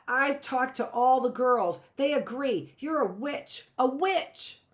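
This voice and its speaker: A woman reading aloud, voicing a sick teenage girl who hoarsely accuses her friend of being a witch. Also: lively intonation, slow pace. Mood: shrill accusing. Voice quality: hoarse, shrill.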